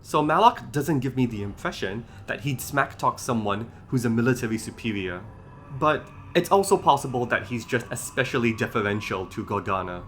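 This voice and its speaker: A man hosting a scripted video essay about game lore, explaining in a measured way. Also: medium pitch, animated, fairly bright tone, slight background noise. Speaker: video host.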